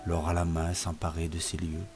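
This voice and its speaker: A man reciting poetry in a solemn, expressive literary style, in measured phrases that sink softer as lines end. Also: low voice. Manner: measured phrases falling softer at line ends. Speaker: poetry reader. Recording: music playing behind the voice. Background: music.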